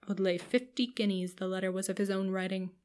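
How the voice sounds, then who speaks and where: A woman voicing a dashing young gentleman in an audiobook, confiding, dry and disdainful. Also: mid-range voice, fairly even intonation, fast, natural voice. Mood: scornful aside.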